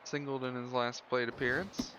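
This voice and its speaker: Male baseball play-by-play caller, mid-pitched, clipped delivery with offhand asides, lo-fi sound with noisy ambience behind. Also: flat delivery, moderate pace. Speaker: play-by-play commentator. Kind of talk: live baseball commentary. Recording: dull lo-fi audio with loud background noise.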